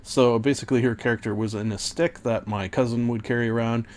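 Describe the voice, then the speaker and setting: A man hosting a podcast, casually recapping an old tabletop campaign, rambling with many fillers and self-corrections, and a little hoarse. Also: medium pitch, fairly even intonation, brisk, darkish tone. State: losing his voice. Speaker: podcast host and game master.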